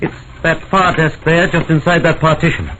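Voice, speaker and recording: A man's voice: on the high side, fairly even intonation, normal speed, bright, forceful tone. An actor playing an anxious, earnest man in a 1940s style radio drama, speaking in a precise manner. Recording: dull old radio recording, faint noise.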